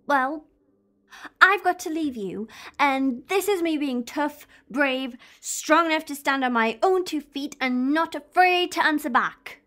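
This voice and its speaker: A high-pitched young woman's voice in a sci-fi audio drama, performed by an actress. She is a stubborn, disillusioned companion to a time traveller. She answers back bluntly and holds her ground. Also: expressive, measured pace, fairly bright tone. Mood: firm, resolute.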